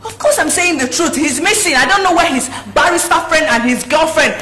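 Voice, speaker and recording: High-pitched, haughty, raging Nigerian princess. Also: female voice, animated, brisk. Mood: urgent, alarmed. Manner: scolding, insulting, imperious. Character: haughty, hot-tempered princess hunting for her missing prince. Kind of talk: melodramatic film acting. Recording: echoey room, noisy location sound.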